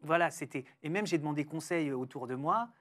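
Male dubbing director, slightly high voice, thoughtful and explanatory, lapel mic on a studio set. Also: fairly quick. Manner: reflective, explanatory, animated when quoting himself. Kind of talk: casual chat.

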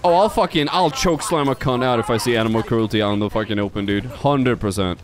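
A man's voice: medium pitch, fast, a little hiss or hum. A young man from Sweden whose first language is Swedish, chatting casually and bluntly with his viewers while he plays, with plenty of swearing. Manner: blunt and profane, talks straight to chat. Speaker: game streamer. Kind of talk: casual chat while playing.

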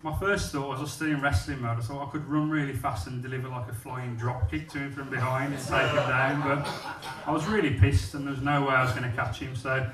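A man's voice: medium pitch, flat delivery, fairly quick, hardly any pauses, fairly bright tone, far from the mic, a little hiss or hum. A man, telling a blunt, self-mocking stand-up story on a comedy club stage into a handheld mic. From England. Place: comedy club stage, small venue with live audience. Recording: echoey room, mic off-axis, audience laughter.

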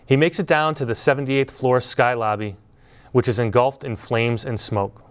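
A middle-aged man giving a prepared graduation speech from a podium, telling a story in a measured, earnest way. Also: mid-range voice, steady tone, clear, resonant tone. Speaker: school speaker at graduation. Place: indoor studio before green backdrop.

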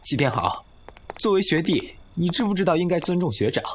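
Animated, flustered young male student played by a man. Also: on the high side, a little fast, background noise. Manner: flustered, protesting. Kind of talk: Chinese audio drama acting.

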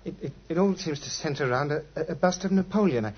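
Husky, stammering British newspaper reporter. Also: male voice, on the high side. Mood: flustered. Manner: stammering, halting, repeating words. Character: nervous, shaken male newspaper reporter. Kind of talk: 1950s radio drama acting. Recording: dull, muffled 1950s radio recording.

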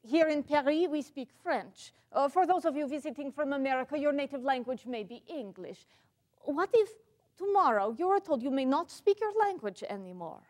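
Period monologue: actress as a proud woman scientist, high-voiced, measured and formal. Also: darkish tone. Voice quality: measured, earnest, formal phrasing. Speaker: living history performer. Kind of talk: one-woman stage monologue. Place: dark stage set, indoors.

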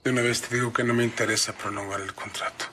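An actor playing a brooding, proud husband in a telenovela, speaking with a Mexican accent in a measured, intense way. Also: male voice, mid-range voice, flat delivery, close to an everyday voice. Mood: wounded, proud. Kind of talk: telenovela dramatic acting.

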